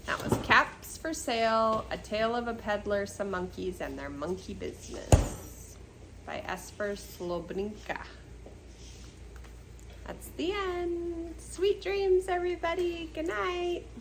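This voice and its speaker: An older woman reading a picture book aloud to children as a storytime host, expressive, with casual asides to her listeners. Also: on the high side, takes it slowly, background noise. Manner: expressive, asking the listener questions. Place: seated in a chair.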